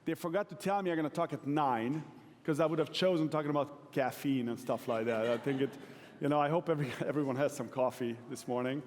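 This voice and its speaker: A man from Hungary in his forties, giving a relaxed, self-deprecating conference talk to a live audience. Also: medium pitch, quick pace, a little hiss or hum. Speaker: keynote speaker. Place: large event hall. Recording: long hall reverb.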